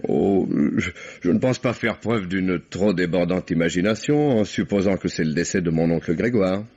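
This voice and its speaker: A suave, cynical nephew in a radio mystery. His voice is low, with elaborate mocking politeness, on a muffled vintage recording. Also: normal speed, a little mellow. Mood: mock-polite, amused. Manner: ironic, mock-courteous, elaborately polite. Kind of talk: old French radio mystery acting. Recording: dull vintage radio sound.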